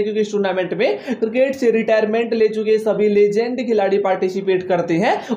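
Young man excitedly presenting cricket news. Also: high voice, echoey room, highs cut off. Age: young adult. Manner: animated hand gestures, emphatic repetition of "जी हां". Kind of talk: fast energetic news roundup. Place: indoor studio with green-screen stadium backdrop.

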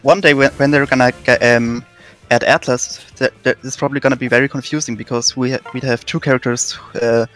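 A man's voice: medium pitch, steady tone, a little fast, fairly bright tone, soft background music, low-quality recording. Dry, teasing young man. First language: German. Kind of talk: casual gaming chat.

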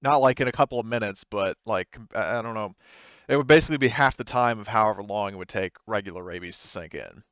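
A man improvising tabletop game narration as game master, explaining in a hesitant, halting way. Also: fairly low pitch, fairly bright tone, clear, resonant tone, no echo, lo-fi sound.

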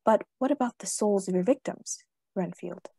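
Husky, dry close sound, stern scholarly professor, commanding, declamatory, radio play, woman actor. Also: mid-range voice, mellow, dry recording. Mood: solemn. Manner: declamatory, deliberate, scripture-quoting.

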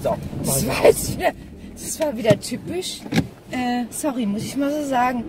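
A woman's voice: fairly high pitch, moderate pace. A woman, talking casually and loosely in an informal vlog recorded in a car. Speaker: vlogger. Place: inside a small car. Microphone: dashboard camera at arm's length. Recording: loud car noise.

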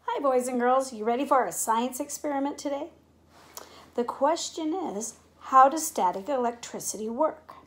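A middle-aged woman teacher speaking in simple, clear phrases to young children, as in a read-aloud or classroom demonstration. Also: high voice, moderate pace. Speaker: teacher making videos for pupils. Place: indoors at home, living room and kitchen. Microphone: device mic at about arm's length.

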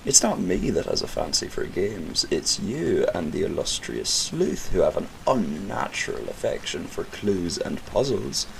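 A man acts out a theatrical villain for an audio drama, a gloating male mastermind with a gravelly voice. He takes his time and swoops widely in pitch, full of mockery and condescension. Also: big pitch swings, a little slow, few pauses, a little hiss or hum, exaggerated voice, rough recording. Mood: gloating, menacing.

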